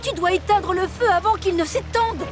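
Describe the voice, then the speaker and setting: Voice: extremely high pitch, normal speed, over music. Locomotive pompier, voix très aiguë. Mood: urgent. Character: anxious, excitable, hurried young female fire engine. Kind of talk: French children's cartoon dub.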